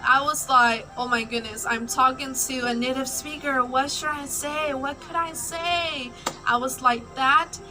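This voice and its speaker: A woman's voice: sits high, steady tone, a little slow, over music, low-quality recording. A young woman whose first language is Burmese, chatting casually in a personal vlog with lots of "like" fillers. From Myanmar. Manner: chatty, uses many fillers like "like". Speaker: language-learning vlogger.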